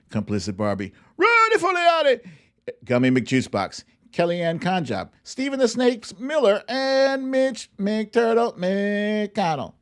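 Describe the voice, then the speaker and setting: Guy hosting a livestream, slow playful talker, voice kinda high n really up and down, casual. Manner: animated, playful, swearing. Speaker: host of an online show. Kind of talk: casual livestream chat, comic picture-book reading and political commentary.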